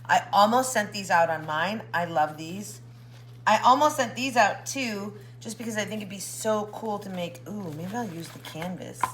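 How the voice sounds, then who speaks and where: A woman hosting a casual craft vlog, a little hoarse, chatting excitedly as she opens a package. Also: medium pitch, moderate pace, slight background noise. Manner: chatty, excitable, jokes with a pet. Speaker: craft channel host.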